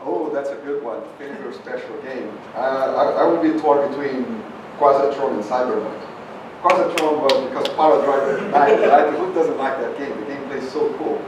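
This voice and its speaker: A man's voice: medium pitch, normal speed, dull audio. A man from Brazil with a Brazilian Portuguese accent, speaking as a guest on a live convention stage and often repeating words as he searches for them. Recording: echoey hall, far from mic, noisy.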